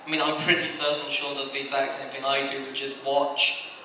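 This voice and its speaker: A man's voice: mid-range voice, completely flat delivery, brisk, recorded from a distance. A man speaking conversationally and discursively on a convention panel as an anime licensing executive. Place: large indoor convention panel room. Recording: very echoey room, off mic, faint noise.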